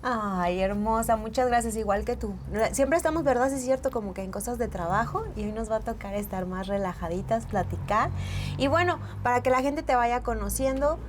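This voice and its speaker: A woman's voice: fairly high pitch, brisk, a little hiss or hum. A woman with a Mexican accent hosting a podcast, friendly and enthusiastic, fond of diminutives. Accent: Mexican Spanish. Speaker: podcast host. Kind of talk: casual podcast interview.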